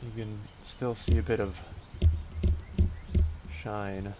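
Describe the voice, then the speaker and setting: A man speaks slowly in a low, congested voice, with dry sarcasm and frequent halting restarts. He is recorded outdoors, and loud background noise runs under his words. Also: little pitch movement. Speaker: video host. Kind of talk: sarcastic narrated tour.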